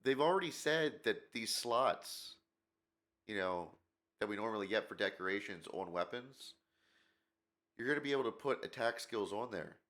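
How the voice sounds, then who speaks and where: A man's voice: on the low side, expressive, normal speed, a bit bright. A man hosting a gaming channel, talking in a rambling, opinionated way with lots of fillers, his nose stuffed up from a cold. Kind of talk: casual commentary video.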